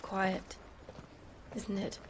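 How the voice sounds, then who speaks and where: An actress playing a gentle, polite young noblewoman in a game cutscene, soft-spoken and formal. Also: extremely slow, background noise. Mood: quiet, earnest. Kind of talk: cinematic game voice acting. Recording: music behind the voice. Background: music.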